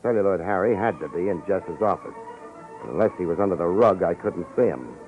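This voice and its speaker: A man's voice: deep voice, lively intonation, a little fast. A man playing a wry, tough private detective in an old-time radio drama, with sardonic, slangy delivery. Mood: wry narration. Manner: sardonic, slangy, hard-boiled. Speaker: private investigator narrator. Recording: muffled vintage radio recording with music.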